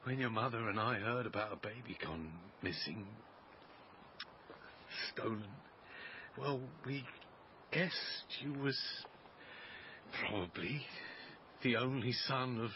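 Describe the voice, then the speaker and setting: A man's voice: mid-range voice, slow, pauses often, muffled recording, slight background noise, close to an everyday voice. An actor playing an emotional middle-aged adoptive father in a period radio drama, with a grandiloquent, declamatory manner. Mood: confessing, earnest.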